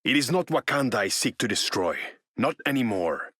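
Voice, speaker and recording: A man's voice: medium pitch, big pitch swings, normal speed, a bit bright, husky. An actor voicing a haughty, wrathful sea king in a video game, imperious and formal. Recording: long echoey hall reverb.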